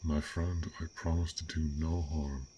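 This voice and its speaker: A man voicing a gentle, bashful dragon in an intimate fantasy audio roleplay, speaking haltingly in formal, old-fashioned words. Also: very deep voice, little pitch movement, slight background noise. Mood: flustered, hesitant. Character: fearsome but gentle, bashful, curious male dragon. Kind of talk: roleplay audio acting, intimate one-sided dialogue.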